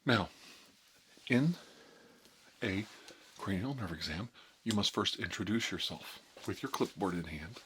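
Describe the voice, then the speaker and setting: Low-pitched deadpan man giving mock ASMR tutorial. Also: slight background noise. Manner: slow, deliberate, deadpan mock-doctor delivery. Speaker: ASMR video host.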